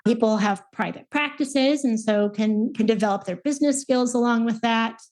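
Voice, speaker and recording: A woman in her thirties explains her field in a relaxed interview, pausing on fillers and restarting her phrases. Her voice sits a little higher than most. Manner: thoughtful, with many fillers and restarts. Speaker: guest, speech pathologist and researcher. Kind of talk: conversational interview answers.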